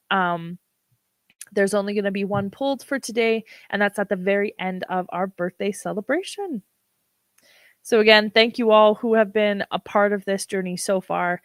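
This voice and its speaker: Slow-talking hoarse woman livestream host. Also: medium pitch, fairly bright tone, no room sound. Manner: unhurried, with frequent ums. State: hoarse, froggy voice. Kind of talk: casual livestream talk.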